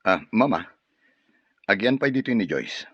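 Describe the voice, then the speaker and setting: An actor playing a devoted, trusting young boyfriend in a radio drama, tender and eager to please. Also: male voice, lowish voice, sing-song, measured pace, a bit bright, no room sound, highs cut off, full character voice. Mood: polite, eager. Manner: tender, earnest.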